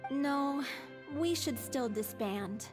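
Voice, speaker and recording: An actress playing a gentle, frail, regretful member of a theater troupe in a video game. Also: female voice, high-pitched, expressive, takes it slowly, in a large hall, music in the background. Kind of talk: video game story dialogue.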